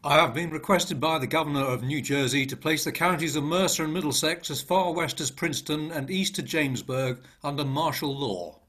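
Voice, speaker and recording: A man's voice: fairly high pitch, a bit bright. A man playing a stern brigadier general in a radio drama, reading an official proclamation formally.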